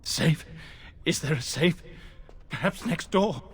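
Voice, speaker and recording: Traumatised mad soldier, very drawn-out delivery, swooping pitch, broken repetitions, game cutscene. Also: male voice, animated, a bit bright. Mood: dazed. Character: mad, traumatised male soldier haunted by slaughter. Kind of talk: video game cutscene acting.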